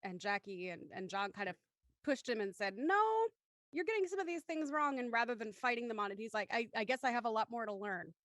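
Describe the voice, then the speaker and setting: A woman's voice: fairly high pitch, lively intonation, a little fast, a bit bright, dry recording, clean studio sound. A woman presenting as a show host, reading out introductions and narration in a scripted presenting style. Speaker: host of a live-streamed audio drama show.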